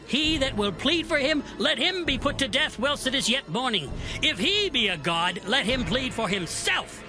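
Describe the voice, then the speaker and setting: A man's voice: very high-pitched, big pitch swings, normal speed, over music, heavily acted. A man playing an indignant, defiant Israelite father, declaiming a challenge in a dramatized scripture recording. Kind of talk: dramatized scripture audio acting.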